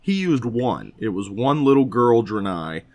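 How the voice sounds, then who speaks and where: A man's voice: mid-range voice, big pitch swings, a little slow. A man co-hosting a casual podcast about films and games, rambling in slangy, profane language as an opinionated fan explaining things in detail. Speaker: podcast co-host.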